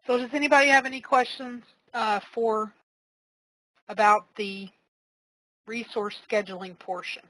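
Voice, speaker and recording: A woman trainer giving a casual, step-by-step live software demonstration, explaining each click as she goes. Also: on the high side, fairly even intonation, measured pace, pauses often, dry recording, poor audio. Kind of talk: live software demo walkthrough.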